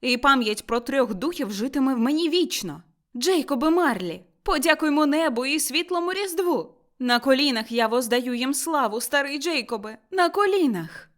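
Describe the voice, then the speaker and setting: An elderly man bursting with giddy joy in a storybook tale, acted out by a woman reader. His voice sits high and leaps around excitedly. He exclaims and repeats himself like someone newly reborn. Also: a little fast. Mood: fervent gratitude. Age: older. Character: elderly miser Scrooge, giddily overjoyed and reborn, man.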